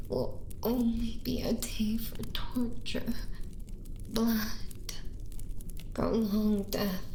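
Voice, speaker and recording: A woman performs a dark villain wife in an ASMR roleplay, adoring and cruel at once. She slips between breathy whispers and soft, theatrical speech at a middle pitch, close to the mic, with some background noise. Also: lightly acted, low-quality recording. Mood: sinister, soothing. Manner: florid, theatrical, adoring, savoring each word. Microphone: close binaural microphone.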